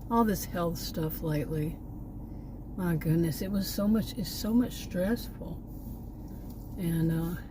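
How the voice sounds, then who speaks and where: Middle-aged Texan woman, lower voice, rambling outdoor vlog chat, clear background noise. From Texas. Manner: meandering, repeats words, trails off. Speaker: vlogger. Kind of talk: casual rambling chat to camera. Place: outdoors near a park.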